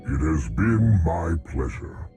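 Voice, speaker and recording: A man voicing a fussy, curious scientist in a game, husky and gravelly, with formal, erudite wording. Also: extremely low pitch, very animated, slow pace, mellow, soft background music, heavily acted. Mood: wry. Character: scholarly male scientist, curious, fussy, admiring of knowledge. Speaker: doctor and researcher. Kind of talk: video game cutscene acting.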